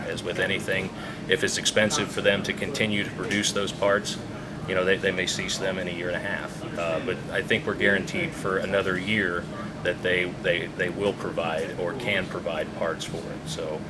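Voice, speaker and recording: A man giving unscripted interview answers as an official, explaining things in a halting, measured way. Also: sits low, little pitch movement, a loud crowd, rough recording. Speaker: public safety radio official being interviewed.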